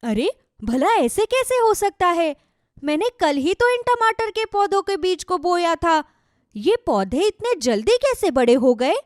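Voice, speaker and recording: A woman voicing a dramatic, excitable village wife in a children's cartoon story. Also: extremely high pitch, measured pace, no room sound, studio quality, heavily acted. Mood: astonished. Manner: dramatic, wide-eyed exclaiming. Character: easily frightened, hopeful village wife.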